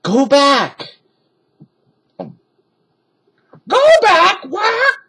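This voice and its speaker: A man voicing a squawking female parrot in a storybook reading, with a shrill, squawky voice. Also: huge pitch swings, very slow, background noise, exaggerated voice.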